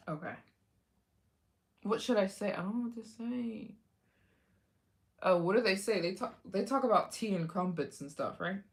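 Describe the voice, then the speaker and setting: A woman hosting a casual livestream, chatting with viewers, thanking donors and giving motivational talk about taking risks. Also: lowish voice. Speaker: livestream host. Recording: echoey room.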